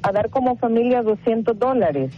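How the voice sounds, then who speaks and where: A woman's voice: fairly high pitch, lively intonation, moderate pace, narrow, tinny audio, slight background noise. A woman, speaking briefly and casually as a caller on a live radio show. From El Salvador. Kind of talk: brief casual phone-in talk.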